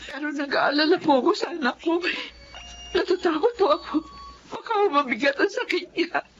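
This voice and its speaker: Feli, anxious high-voiced mother. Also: expressive, normal speed, muffled recording, slight background noise. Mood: worried, pleading. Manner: emotional, dramatic. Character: Feli, anxious devoted wife and mother telling her life story. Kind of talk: Filipino radio drama acting and narration.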